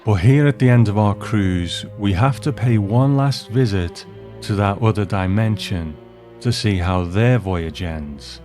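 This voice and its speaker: A man's voice: on the low side, unhurried, darkish tone. A man hosting and narrating from a script, reviewing and reading a story aloud. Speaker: host and narrator. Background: music.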